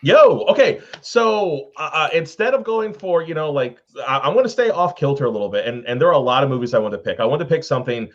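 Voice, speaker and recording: A man's voice: fairly high pitch, lively intonation, fast talker, few pauses. A man giving casual pop-culture commentary as a panelist, chatty and emphatic, in a slightly dull recording.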